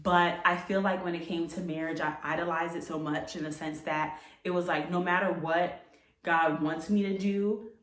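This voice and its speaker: Low-voiced young American woman, echoey room. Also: fairly even intonation, moderate pace. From United States. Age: late 20s. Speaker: vlogger. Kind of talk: casual personal storytelling to camera. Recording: echoey room, distant microphone.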